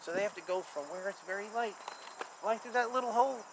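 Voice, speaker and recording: A man reading a children's picture book aloud and explaining it informally in simple terms, with an animated manner. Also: sits high, quick pace, background noise.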